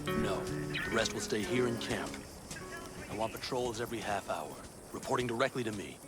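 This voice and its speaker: A man's voice: fairly low pitch, moderate pace, over loud music, lightly acted. An actor playing a stern, authoritarian camp leader in a video game, commanding and cutting in his disapproval.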